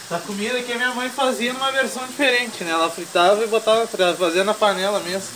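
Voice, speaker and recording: Southern Brazilian man chatting over loud sizzling. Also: sits high, steady tone, fast. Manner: colloquial, jokey, explanatory. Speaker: home cook presenting a recipe. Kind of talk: casual cooking chat. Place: home kitchen beside a sizzling pan. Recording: loud frying sizzle and stirring noise.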